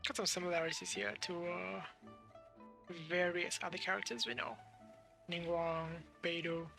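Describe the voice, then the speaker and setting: A woman's voice: lowish voice, flat delivery, normal speed, creaky, a bit bright, faint music behind. A woman streamer giving casual commentary on a game playthrough.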